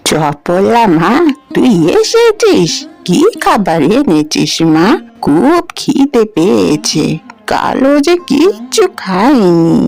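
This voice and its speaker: A woman voicing a poor, kindly old mother in a cartoon fairy tale, plaintive and gentle. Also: medium pitch, wildly swooping pitch, takes it slowly, vocal fry, soft background music, exaggerated voice. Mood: weary, plaintive.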